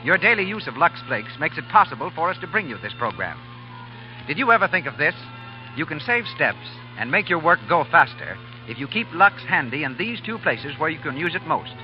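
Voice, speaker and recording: A man's voice: a little high, big pitch swings, a little fast. A man working as a 1930s radio announcer, reading introductions and advertising in a polished, formal way. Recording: dull 1938 radio broadcast, music behind.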